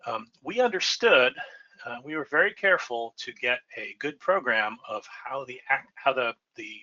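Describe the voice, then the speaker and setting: A man's voice: mid-range voice, lively intonation, moderate pace, bright, forceful tone, muffled recording. A middle-aged man presenting a slide lecture from his home office, talking conversationally and checking in with "right?" as he goes. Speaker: lighting designer presenting a webinar. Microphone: webcam and computer mic on a video call.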